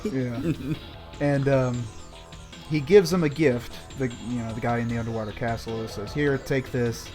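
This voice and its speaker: A man with a mid-pitched voice retells a story casually, as a co-host would. His delivery is wry and colloquial, and music plays clearly behind him. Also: normal speed. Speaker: podcast co-host.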